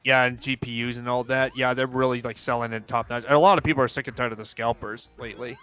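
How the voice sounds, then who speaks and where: A man's voice: medium pitch, fairly even intonation, fast talker, a bit bright, clear, resonant tone, faint music behind. A man in his twenties chatting casually during a gaming livestream, rambling, restarting sentences and swearing freely. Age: 20s. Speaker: co-player on livestream.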